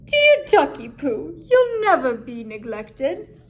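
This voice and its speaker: Woman acting out a high, dramatic, lonely duck hermit. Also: sing-song, slow pace, full character voice. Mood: tender cooing. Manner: dramatic exclamations, plaintive wailing. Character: lonely, grumpy, easily panicked male duck hermit.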